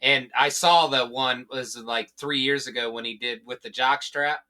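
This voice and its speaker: A middle-aged man in casual podcast conversation with friends, explaining things in a halting, repetitive way. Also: mid-range voice, steady tone, bright, forceful tone. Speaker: podcast co-host.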